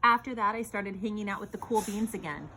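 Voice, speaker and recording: A woman reading a children's picture book aloud in an expressive, theatrical storytelling manner. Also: medium pitch, a little fast, noisy background. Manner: animated, theatrical storytelling with exclamations.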